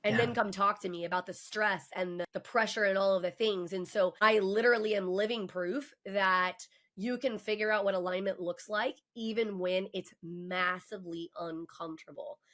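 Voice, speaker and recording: A woman's voice: medium pitch, fairly even intonation, moderate pace, hardly any pauses, a bit bright. A woman in her forties, a guest on a podcast, telling her own story in a candid, emphatic and passionate way. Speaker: mortgage professional. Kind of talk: casual podcast conversation.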